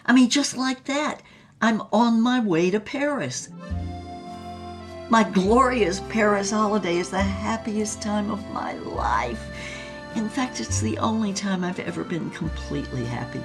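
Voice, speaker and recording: An actress playing an older, passionate former movie star, reminiscing in a dramatic, savoring way in an audio-drama memoir. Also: female voice, medium pitch, expressive, a little slow, over music. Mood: rapturous. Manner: dramatic, savoring, swooping emphasis.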